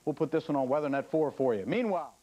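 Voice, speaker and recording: A man's voice: a little high, animated, quick pace, warm tone. A man with an American accent presenting a live TV weather report, talking viewers through satellite images. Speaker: TV weather forecaster.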